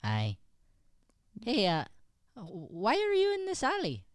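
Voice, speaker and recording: Irish lad, played by a man, eager and halting, clean lively voice, dry studio sound, radio-play comedy. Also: high-pitched, dry recording, heavily acted. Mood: curious. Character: Finnegan, curious eager Irish lad, new in town. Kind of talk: improvised old-time radio play acting.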